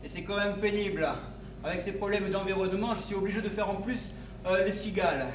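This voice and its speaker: A man's voice: high voice, fairly even intonation, a little fast, close to an everyday voice. A man playing a lonely, put-upon newcomer from the mainland in improvised stage comedy, plaintive and hesitant. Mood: complaining. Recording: echoey hall from far off, noisy.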